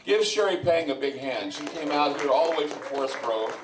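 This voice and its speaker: A man's voice: a little high, animated, brisk, noisy background. A man who hosts a radio show, talking live to an audience at an event in a loose, rambling way, often repeating words as he goes. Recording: roomy live venue, mic heard from a distance.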